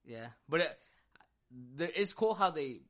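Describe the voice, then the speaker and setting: A man co-hosting a casual podcast chat, relaxed and loose, filling gaps with "yeah" and "dude". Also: on the high side, unhurried. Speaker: podcast co-host.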